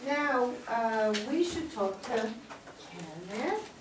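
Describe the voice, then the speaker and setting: A woman's voice: on the high side, expressive, slow. Hesitant woman leading talk in echoey room. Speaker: discussion leader. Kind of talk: casual group discussion. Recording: echoey room, distant mic, clear noise.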